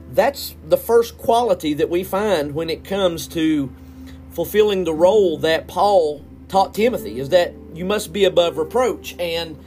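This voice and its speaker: An earnest man hosting a solo podcast, his voice sitting a little higher than most. He speaks with plain indignation, and soft guitar music plays quietly behind him. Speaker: podcast host.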